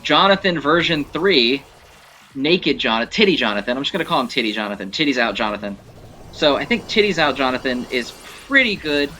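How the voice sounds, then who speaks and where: A man's voice: medium pitch, animated, brisk, bright, forceful tone, slight background noise. A man giving casual, rambling game commentary as a video creator, talking like an enthusiastic fan with some mild swearing. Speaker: gaming video creator and host. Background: video game music.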